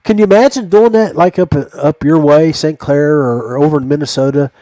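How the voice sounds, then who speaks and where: A middle-aged American man hosting a casual podcast chat in a halting, folksy, familiar way. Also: fairly high pitch, warm tone, no room sound. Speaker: podcast host.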